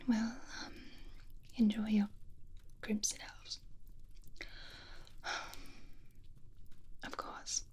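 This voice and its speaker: A woman's voice: mid-range voice, completely flat delivery, takes it slowly, a little mellow. Woman playing a whispering, flirtatious vampire lady. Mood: flirtatious, coy. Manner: breathy, teasing, languid delivery with sighs. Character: flirtatious, sardonic female vampire, teasing and possessive. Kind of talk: whispered ASMR roleplay.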